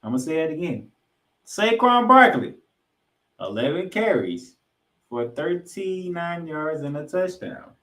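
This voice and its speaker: A man's voice: on the high side, sing-song, unhurried, fairly bright tone. A man hosting a sports podcast, giving recap commentary in an emphatic way and repeating points for effect. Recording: roomy, echoing room sound.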